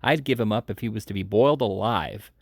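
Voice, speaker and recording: A man's voice: slow, darkish tone, no room sound, studio quality. A man reading an audiobook, voicing a frantic teenage boy who shrieks for help against a murderer. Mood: defiant. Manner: shrieking, high-pitched cries.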